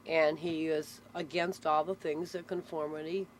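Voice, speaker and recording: A woman's voice: sits low, little pitch movement, normal speed. A woman explaining things in a casual, conversational way, with firm opinions of her own. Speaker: interviewee explaining local nicknames. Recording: noisy ambient recording with vehicle sounds.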